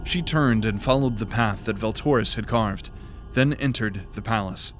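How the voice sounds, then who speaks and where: Man narrating a dark fantasy drama. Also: fairly low pitch, soft background music. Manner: measured, dramatic reading.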